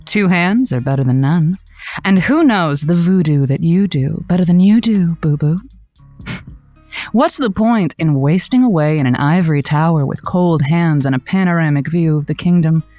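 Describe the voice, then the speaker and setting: An actress as a low-voiced, flirty radio hostess. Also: female voice, darkish tone, no echo, natural voice. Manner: suggestive, playful, wry. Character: flirty, frustrated, sharp-tongued woman late-night radio host. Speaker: radio show host. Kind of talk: scripted audio comedy drama, sultry radio monologue.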